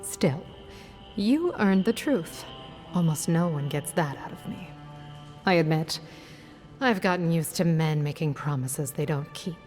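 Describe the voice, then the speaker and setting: Casting: world-weary female protector in a fantasy game, slightly low register, dry, measured and wary. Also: animated, a little mellow, faint music behind. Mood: wry, softening. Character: guarded, wry, world-weary woman, hardened community protector. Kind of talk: video game voice acting.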